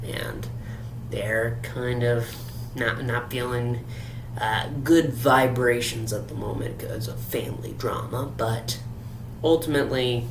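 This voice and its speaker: Slow, halting man in an echoey room. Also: mid-range voice, steady tone. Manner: halting, with stammered repeats. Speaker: host reviewing an audio drama. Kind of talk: solo review and episode recap. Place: echoey room away from usual setup. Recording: roomy echo with clear background hum.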